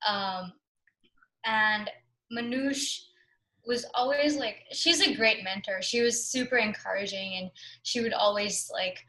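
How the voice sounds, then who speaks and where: A woman's voice: medium pitch, measured pace, sharp tone. A young woman in her twenties, a research fellow, answering interview questions in a chatty way, often saying "like" and "um". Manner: chatty, with frequent "like" and "um".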